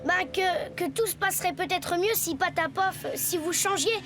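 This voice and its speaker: A woman's voice: very high-pitched, normal speed, background noise. A woman voicing a polite, hesitant young schoolboy in a French cartoon, kind and earnest, stammering when unsure.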